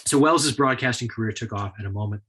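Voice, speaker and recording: A man giving a prepared history lecture in a measured, explanatory way, with a husky voice. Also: medium pitch, fairly bright tone.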